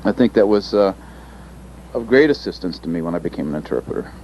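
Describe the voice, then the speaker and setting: A man giving a presentation as an interpreter, speaking thoughtfully with pauses and fillers. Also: low-pitched, expressive, a little mellow, slight background noise. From United States. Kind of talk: recorded panel presentation. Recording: dull lo-fi recording, faint ambience.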